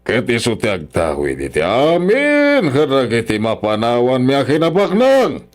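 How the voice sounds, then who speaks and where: A man's voice: medium pitch, huge pitch swings, moderate pace. A man playing a stern, scornful, wealthy older father-in-law in an Ilocano radio drama, scolding haughtily with an Ilocano accent. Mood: angry, accusing.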